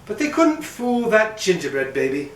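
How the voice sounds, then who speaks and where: A man's voice: sits high, sing-song, normal speed, slight background noise. A man reading a children's storybook aloud as host, narrating expressively and playfully for young listeners. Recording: roomy echo, mic at some distance.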